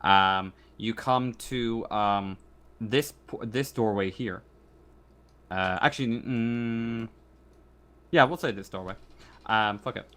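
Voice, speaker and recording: A man improvising narration as game master of a tabletop roleplaying game, thinking aloud in a halting way. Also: lowish voice, a bit bright.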